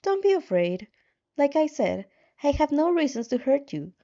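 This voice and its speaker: Woman voicing a calm, controlling system guide, mellow. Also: high-pitched, moderate pace, muffled recording, pitched above the performer's own voice. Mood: pacifying. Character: calm controlling game-like system guide, coaxing yet menacing. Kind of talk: fan fiction character reading.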